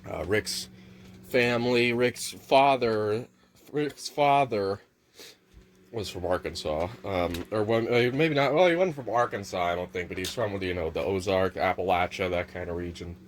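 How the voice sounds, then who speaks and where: A man's voice: fairly low pitch, moderate pace, a little hiss or hum. A man with a nasal voice talking in a rambling, casual vlog monologue at home, restarting often and swearing casually. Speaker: vlogger. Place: home kitchen near a microwave.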